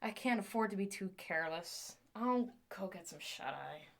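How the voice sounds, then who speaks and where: A woman playing an excitable, scheming inventor in an audio drama, theatrically talking to herself as her moods swing. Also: medium pitch, moderate pace, gravelly, a little hiss or hum. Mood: tired, subdued.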